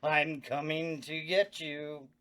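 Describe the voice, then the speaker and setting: An actor voicing a crude, tormented slasher killer at a table read, menacing and then desperate. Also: male voice, a little high, level tone, takes it slowly, bright, forceful tone.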